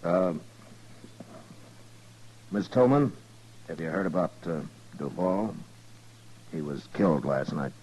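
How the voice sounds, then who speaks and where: Very deep-voiced weary frontier marshal. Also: male voice, animated, a little mellow. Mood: hesitant, probing. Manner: halting, understated, dry. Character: calm, weary, dogged frontier town marshal. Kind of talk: 1950s radio western acting. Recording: noisy vintage radio drama recording.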